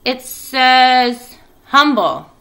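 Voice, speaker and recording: A woman's voice: high voice, expressive, very slow, gravelly, a bit bright, pitched above the performer's own voice, exaggerated voice. A woman voicing a grumpy, greedy male rat in a storybook, with a sneering, grudging, emphatic delivery. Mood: curt.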